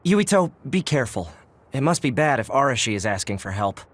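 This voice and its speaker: A man's voice: medium pitch, very animated, a little fast. A man playing a lazy young military operator in an anime-style video game, casual and weary. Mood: concerned.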